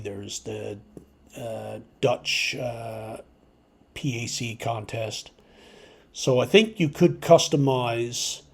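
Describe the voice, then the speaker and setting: A man hosting a hobby radio video, explaining informally and unscripted in a hesitant, halting way, as if thinking aloud. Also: mid-range voice, darkish tone. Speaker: amateur radio video host.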